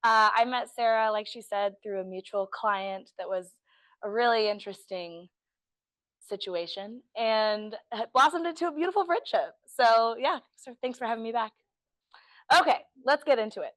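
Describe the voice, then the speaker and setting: A woman, an estate planning attorney giving a friendly educational talk, a little hoarse from allergies. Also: a little high, moderate pace, fairly bright tone, no room sound. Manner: friendly, self-deprecating, chatty with fillers. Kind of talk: educational presentation to a group. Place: meeting room.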